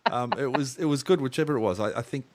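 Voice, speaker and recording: A man's voice: medium pitch, fast, noisy background. A man hosting a podcast interview in a loose, rambling way, full of fillers and restarts. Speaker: podcast host.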